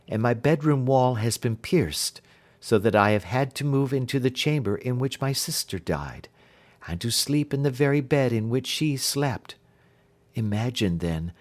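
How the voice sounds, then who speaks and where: A man voicing an earnest, distressed young gentlewoman in a storybook reading, with formal Victorian phrasing. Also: mid-range voice, natural voice. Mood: anxious. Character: Helen, distressed young gentlewoman recounting her sister's death.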